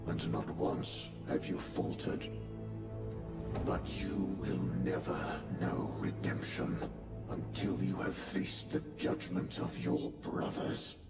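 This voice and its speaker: An actor playing a grave, judging warrior priest in a sci-fi video game, speaking in a stern, ceremonial manner. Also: male voice, sits low, wildly swooping pitch, slow, gravelly, full character voice. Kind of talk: solemn ritual game cutscene acting. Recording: echoey distant voice under loud music.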